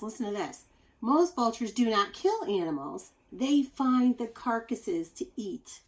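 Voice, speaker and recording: A woman with a mid-pitched voice reads a children's nonfiction book aloud. She sounds like a teacher, explaining expressively and speaking directly to young listeners with chatty asides. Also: low-quality recording.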